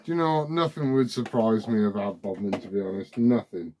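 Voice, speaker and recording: A man's voice: fairly low pitch, a little fast, heavy background noise. A forty-year-old man, chatting casually and familiarly over CB radio. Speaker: CB radio operator asking questions. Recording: CB radio transmission.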